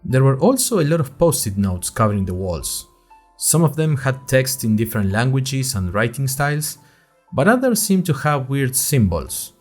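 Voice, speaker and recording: A man's voice: mid-range voice, very animated, moderate pace, faint music behind. A man playing a chatty, nervous young college student who narrates his story in a wry, conversational way with dramatic emphasis. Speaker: narrator of an audio fiction podcast. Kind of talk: scripted first-person storytelling, diary-like.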